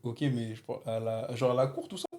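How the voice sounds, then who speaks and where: A man's voice: medium pitch, steady tone, brisk, a little mellow. A young rapper in a casual chat with friends, teasing his friend with pointed questions. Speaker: rapper guest.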